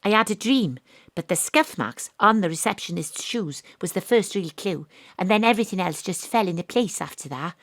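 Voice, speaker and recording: A woman's voice: mid-range voice, expressive, normal speed, fairly bright tone, no echo, clean studio sound. An actress playing a wry, self-regarding detective, telling her own story in an audio drama. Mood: smug, relaxed. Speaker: actor narrating an audio drama. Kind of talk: first-person dramatic narration.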